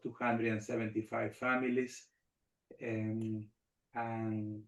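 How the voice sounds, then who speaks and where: A middle-aged man from Argentina, a native Spanish speaker, talks as a rabbi on a panel. His voice is gravelly and his delivery measured, often repeating phrases in lists. The sound is roomy and echoey. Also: fairly low pitch. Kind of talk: panel talk.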